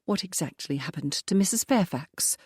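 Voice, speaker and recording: A woman voicing a sharp, teasing young woman who pries and needles in a raised, higher voice. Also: lively intonation, moderate pace, breathy edge, no echo, clean studio sound. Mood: brisk. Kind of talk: audiobook dialogue.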